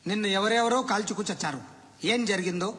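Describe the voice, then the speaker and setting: A man's voice: high-pitched, quick pace, a bit bright, a little hiss or hum. A man playing a sarcastic police chief in a film, holding forth to reporters in a declamatory way. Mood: sardonic. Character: sardonic male police chief lecturing reporters. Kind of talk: film dialogue as press briefing. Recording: reverberant hall sound.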